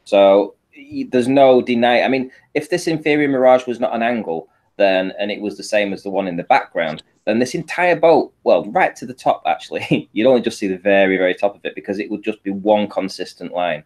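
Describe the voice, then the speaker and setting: Low-pitched man explaining step by step. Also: animated, fairly quick, few pauses, a little mellow. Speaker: panel guest presenting a photo analysis. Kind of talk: informal explanatory talk over shared images.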